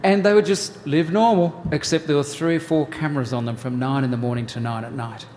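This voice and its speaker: A man in his sixties with an Australian accent, from New South Wales. He gives a church workshop talk in a relaxed, joking way, with many "uh" fillers. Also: on the high side, a little fast, big-room sound, a little hiss or hum. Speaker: family ministries pastor. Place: church hall.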